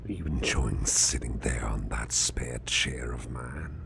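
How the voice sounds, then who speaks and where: A man playing a big, anxious but caring businessman boss in an ASMR roleplay, murmuring haltingly in a breathy, gravelly near-whisper. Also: rumbling low, fairly bright tone, full character voice. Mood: calming, gentle. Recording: clearly noisy background hiss.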